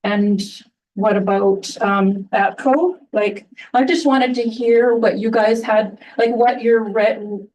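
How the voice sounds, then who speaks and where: Soft voiced lady talking to town council, lots of ums and restarts, kinda far from the mic. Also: a little high, steady tone. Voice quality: soft-spoken. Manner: halting, many restarts and fillers. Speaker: resident addressing town council. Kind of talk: public comment at a council meeting.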